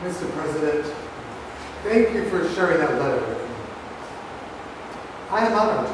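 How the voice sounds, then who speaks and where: A man's voice: fairly high pitch, expressive, normal speed, noisy background, lightly acted. A man reading the part of a loyal, courteous cabinet secretary in a staged play, far from the mic in a hall. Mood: courteous, emphatic.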